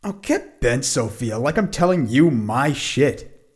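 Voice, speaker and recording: A man's voice: mid-range voice, big pitch swings, slow. An actor playing a surly, cocky eighteen-year-old male lynx in an audio drama, sullen and dismissive in manner. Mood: surly, irritated. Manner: sullen, dismissive, foul-mouthed. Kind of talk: audio drama character acting.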